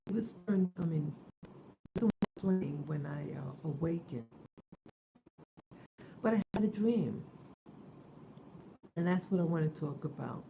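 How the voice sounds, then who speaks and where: A woman just awake, hoarse and soft-spoken, talking hesitantly in a casual morning vlog. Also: low-pitched, background noise. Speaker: vlogger.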